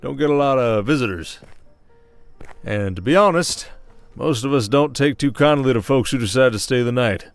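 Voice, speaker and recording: An actor playing a world-weary, gruff werewolf sheriff with an American Western drawl, folksy and scolding, in an ASMR roleplay. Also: male voice, medium pitch, animated, normal speed, no room sound, faint music behind, natural voice. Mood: suspicious, exasperated. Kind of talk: ASMR roleplay acting, Old West.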